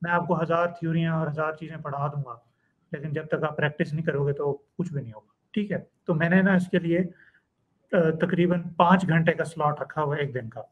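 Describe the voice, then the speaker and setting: A man, talking as a guest in a conversational interview, animated and telling stories. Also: on the high side, a little fast, phone-quality sound. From Pakistan. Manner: animated storyteller, acts out clients and students in quotes. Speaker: guest, freelance copywriting trainer.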